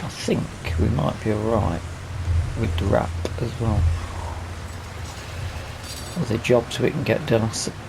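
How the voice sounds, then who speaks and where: A man's voice: on the low side, measured pace, long pauses. A man hosting a gaming video with casual let's-play commentary, rambling and thinking aloud as he plays. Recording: clear background game vehicle noise.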